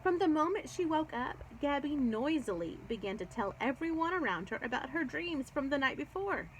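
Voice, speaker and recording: A woman reading a children's book aloud, expressive and explanatory, as if speaking to young children. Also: high-pitched, normal speed, a little hiss or hum, poor audio. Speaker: read-aloud storyteller. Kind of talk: children's book read-aloud with chatty asides.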